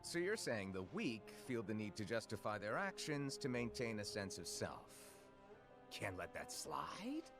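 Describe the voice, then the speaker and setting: A man's voice: on the low side, sing-song, a little slow. A man playing a sly, teasing, inquisitive companion with a playful theatrical delivery in a video game cutscene. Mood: musing, amused. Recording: music under the voice.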